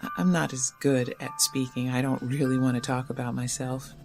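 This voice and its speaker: A withdrawn young poet who restarts sentences and keeps herself closed off. Her voice sits low and unsure. A clearly audible score plays under the scene. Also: flat delivery, darkish tone, faint music behind. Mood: guarded. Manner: hesitant, halting, self-conscious. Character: shy, guarded young poet at therapy. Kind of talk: audio drama acting.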